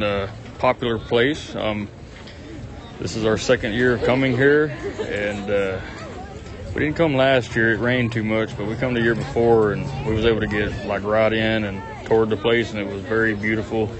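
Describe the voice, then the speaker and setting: Man, casual family vlogger, rambling and upbeat, phone mic outdoors with a crowd behind. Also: on the low side. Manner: chatty, rambling, enthusiastic, many ums and uhs. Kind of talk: casual walk-and-talk vlog. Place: busy outdoor pumpkin farm. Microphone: handheld phone camera. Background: crowd chatter.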